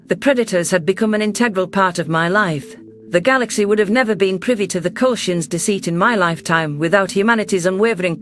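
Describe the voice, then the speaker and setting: A man with a notably high voice reads first-person science fiction in a steady, measured, scripted manner. His delivery stays even throughout the narration. Soft music plays faintly beneath him.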